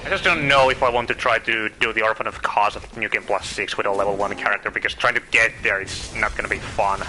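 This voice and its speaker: A man with a Finnish accent giving casual, chatty commentary while streaming a game, thinking out loud and asking his viewers questions. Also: fairly low pitch, a little hiss or hum, poor audio. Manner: chatty, thinks aloud, asks viewers questions, self-correcting. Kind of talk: casual live gameplay commentary.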